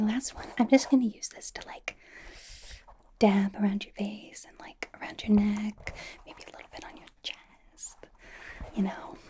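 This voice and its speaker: A woman's voice: mid-range voice, warm tone, natural voice. A woman playing a bubbly, encouraging young K-pop idol in an ASMR personal-attention roleplay, whispering breathily in a rambling, giggly, reassuring way. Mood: friendly, soothing. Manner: rambling, giggly, reassuring, casual youthful phrasing.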